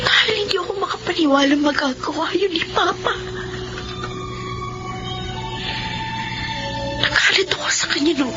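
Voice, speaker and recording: Casting: woman as grieving younger sister, very high voice, pleading, weepy radio-drama delivery, music behind. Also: natural voice. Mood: sorrowful. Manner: emotional, pleading, drawn-out delivery. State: crying. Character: grieving younger sister mourning her estranged father. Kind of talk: Filipino radio drama acting. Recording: dull radio sound under loud music.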